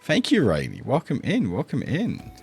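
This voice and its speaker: Chatty man streamer, lively pitch. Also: moderate pace, vocal fry, music in the background. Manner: rambling, lots of ums and restarts, chatty with viewers. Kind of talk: casual livestream chat.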